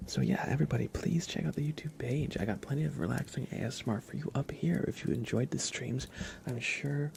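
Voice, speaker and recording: A man streaming ASMR in a soft, often whispery voice, chatting in an unhurried, low-key way with pauses. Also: creaky. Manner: hushed, unhurried, relaxed delivery with pauses. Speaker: ASMR livestreamer.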